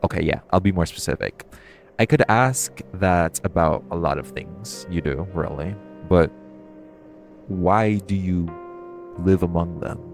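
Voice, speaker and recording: A low-pitched, flirty male supervillain played by a man in an intimate audio roleplay. He is cocky and curious, teasing the listener in a casual, conversational way with playful pauses. Music plays clearly behind him. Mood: curious, needling.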